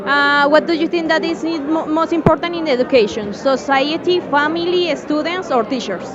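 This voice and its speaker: A woman with a very high voice puts interview questions for a student report. The sound is dull, with clear crowd chatter around her. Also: normal speed. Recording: muffled, crowded public space.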